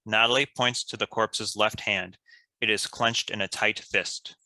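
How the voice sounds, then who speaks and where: Very sharp, bright male narrator. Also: lowish voice, fairly even intonation, unhurried, no echo, low-quality recording. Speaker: narrator reading stage directions. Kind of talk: scripted narration.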